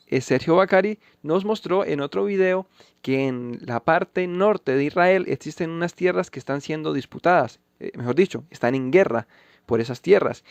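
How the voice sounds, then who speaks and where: A man reading Bible verses and giving scripted commentary, measured and emphatic. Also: fairly high pitch, vocal fry, no room sound. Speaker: channel host refuting a pastor.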